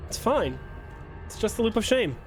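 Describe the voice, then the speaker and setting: Young male streamer, high voice, playful self-mocking banter with chat, faint game music underneath. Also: big pitch swings, moderate pace. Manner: joking, self-mocking, chatting with viewers. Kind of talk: casual live-stream chat while playing.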